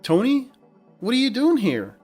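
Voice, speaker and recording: A man's voice: very high-pitched, huge pitch swings, normal speed, a little mellow, husky, soft background music, voice pushed up, full character voice. A man voicing a friendly female surgeon who sounds pleasantly surprised, in a narrated story.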